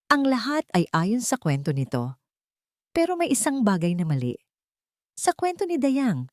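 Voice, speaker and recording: Woman, dramatic story narration, slightly low pitch, dry close sound. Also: a little mellow, no echo.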